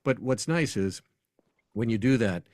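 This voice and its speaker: A man's voice: medium pitch, animated, unhurried, a little mellow, no room sound, studio quality. An American man speaking as an announcer guest in a casual sports interview, telling anecdotes in a conversational way.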